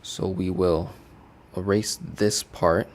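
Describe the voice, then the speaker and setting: Man, low voice, finance educator, casual, playful, chatty, studio mic. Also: fairly even intonation, slow pace, a little hiss or hum. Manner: chatty, playful, peppers with "right?". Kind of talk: casual teaching walkthrough. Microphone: studio desk mic.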